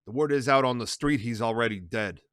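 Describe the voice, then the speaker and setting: Blunt mob lieutenant, a man doing him, loud and plain, dry mic. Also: mid-range voice, brisk, dry recording, natural voice.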